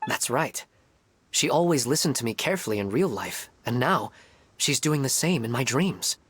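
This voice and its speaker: An actor playing an earnest, wistful widowed father in a video game, speaking in a measured, reflective way. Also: medium pitch, animated, a bit bright, a little hiss or hum, natural voice. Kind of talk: voice-acted game story dialogue.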